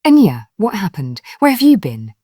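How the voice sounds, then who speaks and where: Woman voicing an anxious, pleading mother, highly expressive. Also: moderate pace, husky, no room sound, studio quality. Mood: worried, tender. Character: anxious, loving village mother, tearful and pleading.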